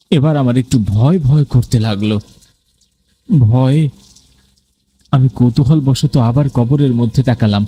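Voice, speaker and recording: A man's voice: a little high, mellow, breathy edge. A man narrating a scripted first-person ghost story in a dramatic, suspenseful manner.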